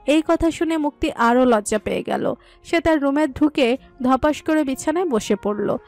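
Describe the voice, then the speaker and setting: A woman narrates a scripted romantic story in a high voice. Soft background music runs under her reading. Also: normal speed.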